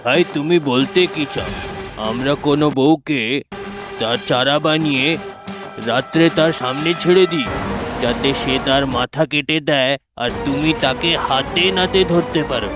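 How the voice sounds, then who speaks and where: An actor voicing a skeptical villager in a cartoon, challenging others in an indignant, scolding manner. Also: male voice, on the high side, measured pace, music in the background, natural voice.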